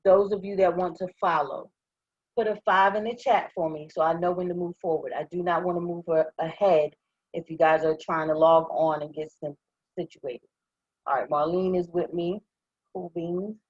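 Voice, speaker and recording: Hoarse woman trainer, husky, slightly muffled close mic, upbeat walkthrough voice. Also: lowish voice, steady tone, normal speed, no room sound. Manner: upbeat, step-by-step, checks in with "okay?". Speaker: online trading trainer. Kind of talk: live screen-share software walkthrough.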